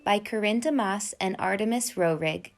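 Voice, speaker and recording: A woman reading a picture book to children in an expressive, deliberate storyteller's delivery. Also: mid-range voice, soft background music. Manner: clear, deliberate delivery addressed to young children. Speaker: children's read-aloud storyteller and host.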